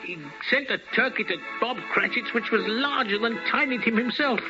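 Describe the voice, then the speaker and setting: A man narrating as a storyteller in a theatrical 1950s radio style, with deliberate dramatic phrasing. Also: very high-pitched. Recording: muffled old radio recording, music behind.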